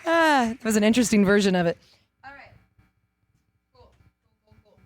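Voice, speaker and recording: A raspy woman in her thirties, a singer-songwriter rambling and joking between songs on a stage. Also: fairly high pitch, lively intonation, slight background noise. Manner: rambling, self-mocking, quick asides. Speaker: singer-songwriter performing live. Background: guitar playing.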